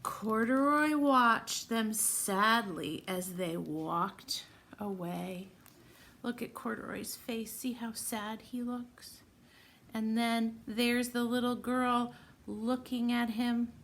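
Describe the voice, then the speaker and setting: Slow, higher-pitched woman reading to children. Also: fairly bright tone, slight background noise. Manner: encouraging, addresses children directly as friends. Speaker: children's read-aloud presenter. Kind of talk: storybook read-aloud with questions to young children.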